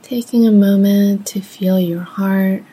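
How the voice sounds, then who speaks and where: A woman guides a meditation at a very unhurried pace. Her voice is soft, mellow and a little breathy. She speaks gently, in short measured phrases separated by long pauses. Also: mid-range voice, fairly even intonation.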